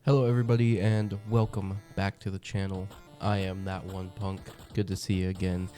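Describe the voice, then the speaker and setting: A man's voice: deep voice, flat delivery, normal speed, over music. A young man hosting a comic review channel, congested from a cold, talking in a chatty, enthusiastic way with lots of ums and uhs. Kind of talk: casual review monologue.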